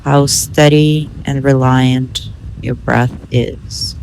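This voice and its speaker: Female meditation guide, very unhurried delivery, mid-range pitch, measured instructional phrasing, some background noise. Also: steady tone, creaky, darkish tone, low-quality recording. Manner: measured, instructional, with pauses between short phrases.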